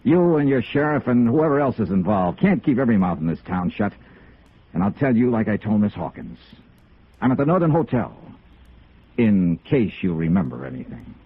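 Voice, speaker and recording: A man's voice: fairly low pitch, sing-song, moderate pace, husky, no room sound, heavily acted. An American man acting a tough, persistent insurance investigator in a 1950s radio drama, with a clipped, insistent delivery. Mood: confrontational. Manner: clipped, insistent, hard-boiled. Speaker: actor in the lead, also narrating. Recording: tinny, noisy old radio recording.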